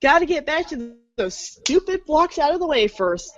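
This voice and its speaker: A woman with a fairly high, lively voice narrates in a read-along storybook style. The recording is close and dry, with a somewhat dull tone. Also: moderate pace, no echo. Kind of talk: storybook narration in a fan readers' theater. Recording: dull lo-fi audio.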